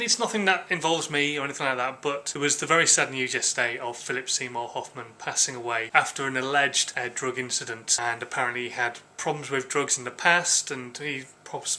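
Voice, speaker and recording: An English man records a casual vlog in a bright, clear-cutting voice. He sounds somewhat hoarse with a cold. His talk is informal and chatty, with a hesitant, thinking-aloud way about it. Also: mid-range voice. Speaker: vlogger.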